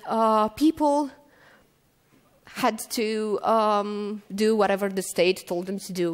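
A woman in her thirties giving a keynote at a lectern, telling stories at an easy pace with frequent ums and restarts. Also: mid-range voice. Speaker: keynote speaker. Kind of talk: conference talk. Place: conference stage, indoors. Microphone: stand mic at lectern. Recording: reverberant hall.

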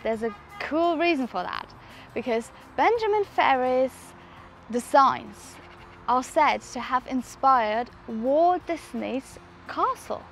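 Higher-voiced young woman vlogging. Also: lively intonation, takes it slowly, a bit bright, soft background music. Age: 20s. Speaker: vlogger, presenter. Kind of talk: travel vlog presenting to camera. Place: outdoors in a churchyard. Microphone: clip-on lapel mic.

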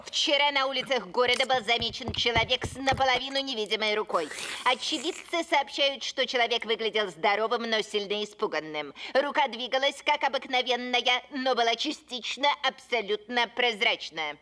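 Cartoon TV reporter, highish pitch, sharp bright tone, eager, crisp announcing. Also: female voice, moderate pace. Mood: reporting. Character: eager, urgent television news reporter. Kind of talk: news report parody in animated series.

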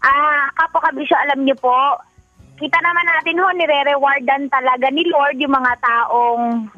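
Woman, filipino accent, earnest motherly advice, high voice, muffled phone line. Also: fairly even intonation, moderate pace, no room sound, faint music behind. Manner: earnest and motherly when advising, giggly in banter. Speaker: radio co-host. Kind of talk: radio advice commentary. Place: own bedroom.

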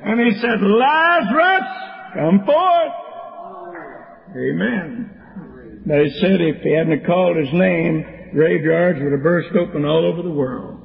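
A high-pitched man preaches in a church, building fervent, rhythmic cadences. The recording is dull and lo-fi, with a long reverb trailing off the walls. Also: measured pace, vocal fry. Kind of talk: sermon.

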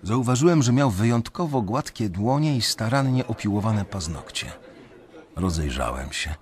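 A man's voice: on the low side, expressive, darkish tone, lightly acted. A man playing a brooding, conscience-stricken young banker who narrates a radio drama in the first person, introspectively. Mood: brooding, measured. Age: young adult. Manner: measured, introspective storytelling.

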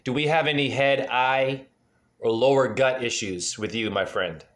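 A man in his forties talks in a mid-pitched voice over a webcam call from a dim room at home. He gives a freewheeling, earnest reading and keeps asking probing questions. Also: moderate pace, a bit bright. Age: 40s. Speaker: spiritual numerology reader. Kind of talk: casual video-call name reading. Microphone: webcam on a video call.

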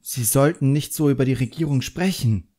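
A man's voice: mid-range voice, moderate pace, mellow, pitched above the performer's own voice, close to an everyday voice. A man voicing a proud, earnest boy apprentice magician in an audiobook, naive and easily indignant.

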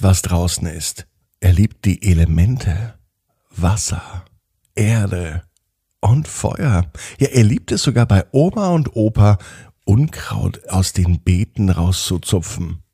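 A man hosts a children's podcast, narrating a bedtime story expressively with drawn-out phrases. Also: fairly low pitch, warm tone, slightly rough, no echo, clean studio sound.

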